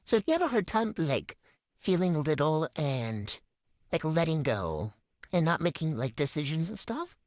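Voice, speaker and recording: Young woman reading out viewer questions and answering, lots of ums and likes, lowish voice that jumps around a lot, dry close sound. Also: sing-song, moderate pace, darkish tone, no room sound. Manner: chatty and candid, full of ums and likes. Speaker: channel host. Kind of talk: casual Q&A vlog, reading questions aloud then answering.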